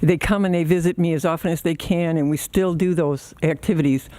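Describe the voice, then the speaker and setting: An older woman, in her sixties or older, with a soft voice, speaking haltingly and informally at a public meeting. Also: low-pitched, steady tone. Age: 60s or older. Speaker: resident giving public comment.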